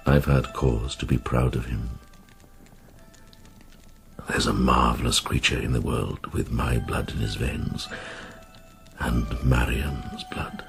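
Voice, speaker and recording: An actor in a radio drama playing a worldly old monk and former crusader, gruff but tender, with a gravelly, halting, reflective delivery. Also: male voice, extremely low pitch, faint music behind, full character voice. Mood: tender, proud.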